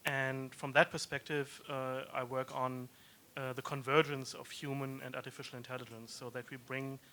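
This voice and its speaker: A man's voice: mid-range voice, flat delivery, moderate pace, bright, forceful tone, slight background noise. A man introducing himself on a panel, explaining his research earnestly with many fillers.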